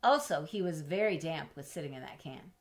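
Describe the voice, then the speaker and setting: A middle-aged woman reading a children's storybook aloud in an expressive, measured way, as if to young listeners. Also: deep voice. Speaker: storybook reader. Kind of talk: children's read-aloud with chatty asides. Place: indoors in a home room with bookshelves.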